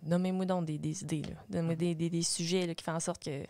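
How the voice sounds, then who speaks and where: A woman's voice: lowish voice, flat delivery, fast talker, darkish tone, no echo. A young woman with a Quebec French accent, hosting a casual panel discussion. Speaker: host. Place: small radio studio. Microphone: studio boom microphone with headset.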